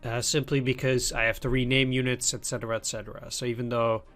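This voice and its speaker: A man's voice: mid-range voice, little pitch movement, fast talker, fairly bright tone. A man with a cold hosting a let's play, talking loosely and thinking aloud as he plays. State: has a cold. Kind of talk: casual gameplay commentary.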